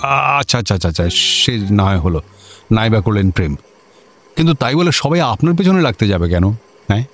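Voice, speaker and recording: An actor playing a district magistrate in a comic radio drama, an officious, easily bemused senior official with an incredulous way of questioning. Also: male voice, fairly low pitch, lively intonation, fairly quick, a bit bright, soft background music.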